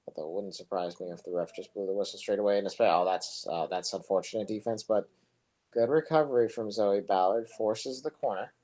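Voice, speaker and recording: A young man giving relaxed, rambling live play-by-play for a soccer match, his voice low and monotone, with chatty asides between plays. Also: fairly quick, low-quality recording. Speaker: soccer commentator. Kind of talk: casual live play-by-play commentary.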